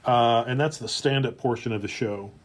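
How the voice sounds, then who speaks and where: A man presenting on a casual livestream, speaking in a halting, hesitant way with drawn-out pauses. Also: mid-range voice, slight background noise. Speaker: streamer and comedy writer presenting a script.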